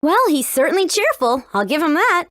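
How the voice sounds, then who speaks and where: Confident teen girl in a game, high lively voice, kinda bossy. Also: moderate pace, heavily acted. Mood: matter-of-fact. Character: spirited, confident, bossy teenage girl adventurer. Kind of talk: video game character acting.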